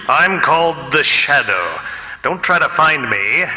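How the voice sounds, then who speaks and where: An actor playing a bold, commanding invisible vigilante hero with dramatic old-time radio delivery. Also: male voice, fairly high pitch, sing-song, takes it slowly, bright, forceful tone, heavily acted. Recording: hall with long reverb.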